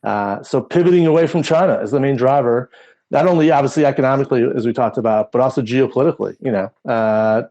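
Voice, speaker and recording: A man's voice: mid-range voice, fast talker, dark tone, lo-fi sound. A man co-hosting a podcast, talking through current affairs in a casual, conversational way. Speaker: podcast co-host.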